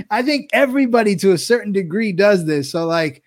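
High-voiced man musing, laughing often. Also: animated, normal speed. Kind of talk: casual group chat.

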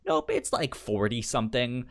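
A man hosting a casual book discussion show, talking informally and haltingly with a stutter that repeats sounds and syllables. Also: mid-range voice, huge pitch swings, fairly bright tone. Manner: halting, informal, thinking aloud. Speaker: podcast host.

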